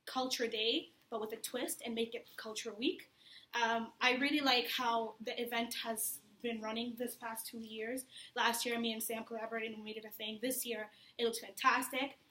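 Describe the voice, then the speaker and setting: A woman's voice: fairly high pitch, sharp tone. A young woman from Tanzania, a student candidate, gives an informal, candid and conversational campaign speech to an audience. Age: young adult. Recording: echoey room with faint background noise.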